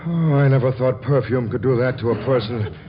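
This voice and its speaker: Flustered, bashful young American bachelor played by an actor. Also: male voice, mid-range voice, lightly acted. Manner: stammering, flustered, halting. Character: naive, bashful, lovestruck rich young bachelor. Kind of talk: 1940s American radio comedy acting. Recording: muffled 1940s radio broadcast, music behind.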